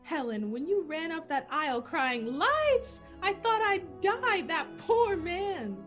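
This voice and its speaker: Actress doing a stubborn carefree small town lady in her thirties, old radio drama, high voice swooping all over. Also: expressive, fairly bright tone, faint music behind, exaggerated voice. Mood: amused. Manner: brisk, scoffing, emphatic. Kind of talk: 1940s radio play acting.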